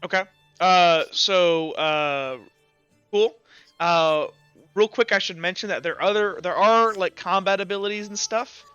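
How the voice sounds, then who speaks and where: Male game master, high and forceful, explaining tabletop rules informally. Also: unhurried, smooth, dry recording, muffled recording, soft background music.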